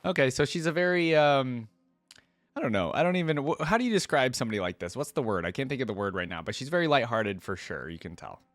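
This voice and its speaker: A man's voice: medium pitch, very animated, fast, a bit bright, no room sound. A man giving casual, off-the-cuff reaction commentary as the host of a video. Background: music from videos being watched.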